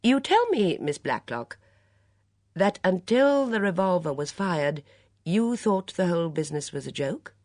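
A woman voicing a quiet, deliberate male police inspector questioning witnesses in an audiobook. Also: lowish voice, sing-song, no room sound, clean studio sound, voice pushed up. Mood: probing. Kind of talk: audiobook character dialogue.

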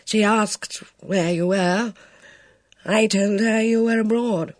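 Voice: mid-range voice, fairly even intonation, dry recording, studio quality, pitched above the performer's own voice, natural voice. A reader voicing a hesitant, kindly older military man who stammers and halts between words. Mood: flustered. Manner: stammering, halting, full of fillers.

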